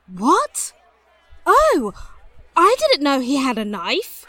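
An impulsive young female sleuth in an audio drama. Her voice is high and very lively in pitch, unhurried in pace, with a brash edge and a dry, close sound. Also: no room sound, full character voice. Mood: flippant, cocky. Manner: flippant, brash. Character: impulsive, cocky young woman investigator.

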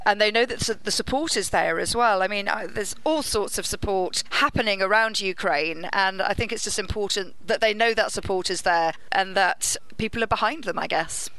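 Female interview guest, expert. Clear, forward tone, earnest explanatory delivery. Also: medium pitch, moderate pace, hardly any pauses, fairly bright tone, rough recording.

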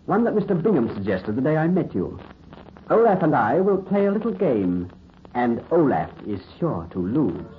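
Man playing a suave, animated scheming gentleman. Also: mid-range voice, moderate pace, natural voice. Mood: cool, scheming. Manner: smooth, mocking, cultivated. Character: suave, bored, cruelly scheming gentleman sculptor. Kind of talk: 1930s radio acting. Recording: muffled, hissy 1930s radio recording.

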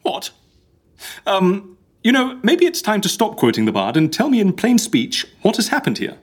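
A man playing a chatty, excitable, easily exasperated office worker with a British accent in an audio comedy. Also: sits high, normal speed, heavily acted. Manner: fussy, colloquial. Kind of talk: audio comedy drama acting.

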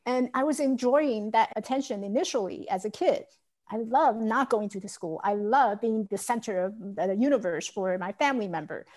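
A woman's voice: a little high, moderate pace, a little mellow. A woman from Taiwan telling her life story as an interview guest, earnest and candid. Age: adult. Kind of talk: personal story in a podcast interview.

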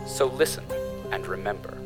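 A man playing a solemn corporate broadcast announcer introducing a dystopian radio drama in a grandiose, measured way. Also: fairly low pitch, music in the background, natural voice, rough recording.

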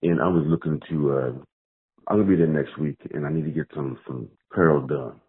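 A man's voice: rumbling low, slightly rough, pitched below the performer's own voice. A man voicing a bumbling, hesitant male salon customer on a phone-like recording. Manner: halting, hesitant. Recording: muffled, phone-like voicemail audio.

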